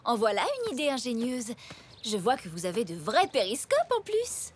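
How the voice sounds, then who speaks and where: A woman voicing a cheerful, affectionate mother zebra in a French children's cartoon, gentle and encouraging. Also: fairly high pitch, wildly swooping pitch, moderate pace, a little hiss or hum. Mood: admiring.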